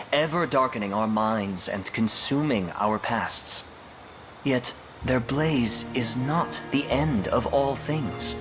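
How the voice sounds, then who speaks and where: An actor playing a loyal, hopeful young knight in a fantasy video game, with courteous, formal archaic speech. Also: male voice, mid-range voice.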